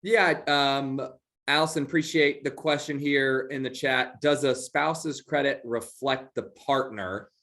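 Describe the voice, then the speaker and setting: Relaxed man explaining banking answers. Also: mid-range voice, a little slow. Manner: relaxed, explains with many fillers. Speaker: bank expert. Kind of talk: webinar Q&A answers.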